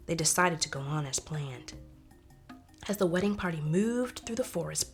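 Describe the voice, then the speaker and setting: A woman narrating a folktale expressively, as in a storybook read-aloud. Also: lowish voice. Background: soft music.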